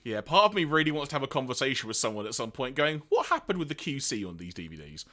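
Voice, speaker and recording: A man co-hosting a podcast in casual chat, his voice slightly hoarse, animated and chatty with big swings in pitch. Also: fast talker. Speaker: podcast co-host.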